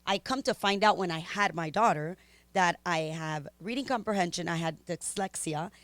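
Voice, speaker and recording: A woman's voice: fairly low pitch, moderate pace, no room sound. A middle-aged woman from Miami with a Spanish-influenced Miami accent, a native Spanish speaker, telling her story candidly as a podcast guest with lots of ums and laughs. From Miami, Florida. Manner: animated, chatty, frequent ums and laughs.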